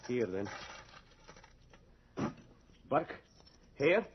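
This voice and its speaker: An actor playing a guilt-ridden, indignant young medical student in a 1980s radio drama, dramatic and disdainful. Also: male voice, mid-range voice, very animated, very slow. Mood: haughty disdain. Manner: dramatic, clipped disdain and brooding narration. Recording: muffled, noisy old radio recording.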